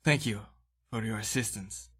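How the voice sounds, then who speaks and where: A man playing a courteous, guarded traveller in a tabletop game, speaking in formal, measured phrases. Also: on the low side, a bit bright, breathy edge. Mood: grateful.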